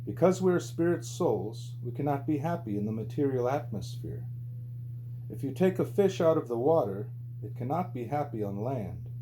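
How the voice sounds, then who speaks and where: A man reading a spiritual book aloud as scripted audiobook narration, with a mellow voice. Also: animated, normal speed, poor audio. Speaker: audiobook reader. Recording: low hum and background noise.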